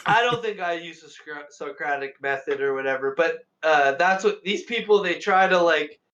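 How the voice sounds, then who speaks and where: A man's voice: on the high side, lively intonation, normal speed, bright, forceful tone. Blunt, swearing man on a dull call. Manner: blunt and profane, rambling with "like". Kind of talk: casual reaction chat.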